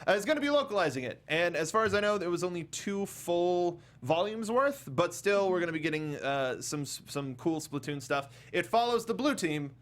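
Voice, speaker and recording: A man hosting a casual panel chat, rambling through animated asides in stop-start phrases. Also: sits high, normal speed, hardly any pauses. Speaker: talk show host.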